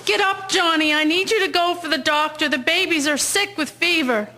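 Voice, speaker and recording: A woman's voice: extremely high pitch, moderate pace, bright, forceful tone. A woman acting an earnest, emotional young wife and mother in a historical audio drama. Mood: anxious, urgent. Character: anxious, pleading young wife and devoted mother, 1910s.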